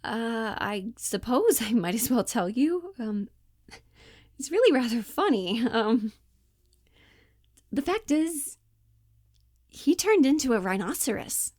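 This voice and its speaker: A woman's voice: fairly high pitch, very animated, takes it slowly, pauses often, darkish tone, dry recording, studio quality, heavily acted. A woman playing an earnest, mildly condescending male office worker, hesitant and placating, in a cold-read stage play.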